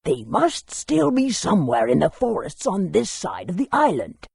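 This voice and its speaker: Fantasy game sage, elderly male: heavy rasp, animated inflection, wordy, helpful. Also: high voice, normal speed, dry recording, studio quality, exaggerated voice. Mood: helpful, wise. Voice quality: very raspy, creaky. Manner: wordy, instructive. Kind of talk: fantasy video game character acting.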